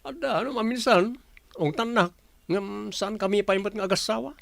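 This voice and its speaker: A man's voice: high voice, fairly even intonation, normal speed, creaky, no echo. An actor in a Filipino radio drama playing a laid-back man who gambles with his buddy. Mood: relaxed, chummy.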